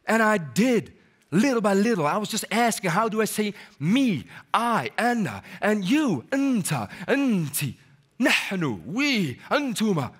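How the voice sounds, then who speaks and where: A man giving a motivational keynote on stage before a live audience. He is animated, jokes with the crowd and switches into French and Arabic mid-talk. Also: high voice, a little slow, husky. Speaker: keynote speaker.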